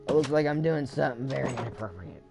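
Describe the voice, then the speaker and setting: A man chatting casually and profanely while playing a game, with sudden loud outbursts. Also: medium pitch, animated, fast talker, gravelly, music in the background. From United States. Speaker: gaming video creator. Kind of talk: casual gameplay chat.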